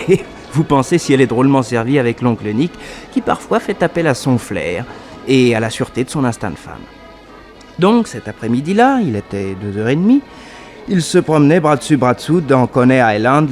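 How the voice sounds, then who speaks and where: Man acting a detective's nephew, animated narration, confiding tone, radio drama, faint music behind. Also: mid-range voice, fairly quick, lightly acted. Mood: confiding, amused. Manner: familiar, confiding, addresses the listener. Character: detective's nephew, lively confiding storyteller of mysteries.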